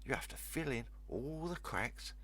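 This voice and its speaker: A man's voice: mid-range voice, animated, unhurried, sharp tone, husky, pitched above the performer's own voice, full character voice. A man voicing an eager young boy who explains things simply and helpfully in a children's storybook. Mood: eager, instructive. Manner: instructive, simply worded. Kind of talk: children's storybook read-aloud.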